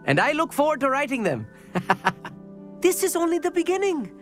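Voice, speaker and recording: A bookish teenage boy in a fantasy game, eager and a bit nervous. His delivery swings in pitch, chatty yet formal and earnest, with music playing underneath. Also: big pitch swings, moderate pace. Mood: excited, proud. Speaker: companion character in a fantasy video game. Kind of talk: scripted game dialogue.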